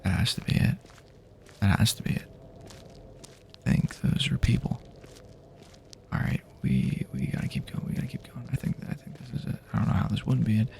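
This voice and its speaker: A man speaking softly and half-whispering in a halting, casual, rambling way, improvising an immersive ASMR roleplay as an apocalypse survivor. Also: mid-range voice, slight background noise. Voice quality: breathy, half-whispered, gravelly. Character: weary friendly male apocalypse survivor guiding a companion.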